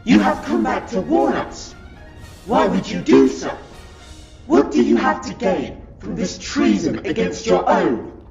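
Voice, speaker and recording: A man's voice: fairly high pitch, huge pitch swings, measured pace, gravelly, heavily acted. A man voicing a haughty, contemptuous reptilian Silurian of a royal court in a sci-fi audio drama, declaiming in a pompous, scornful, formal way. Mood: suspicious, disdainful. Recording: dull, echoey, under very loud music.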